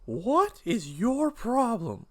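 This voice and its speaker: A man's voice: extremely high pitch, wildly swooping pitch, moderate pace, heavily acted. A man playing an aggrieved, hot-tempered grown son who is exasperated and emotional in a cartoon-style drama. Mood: angry, hurt.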